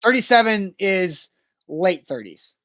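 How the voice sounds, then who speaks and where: A man's voice: high voice, big pitch swings, slow, fairly bright tone, no room sound. Dry, matter-of-fact male co-host. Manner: matter-of-fact, teasing, pedantic. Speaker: sports radio co-host. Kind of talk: casual sports talk banter.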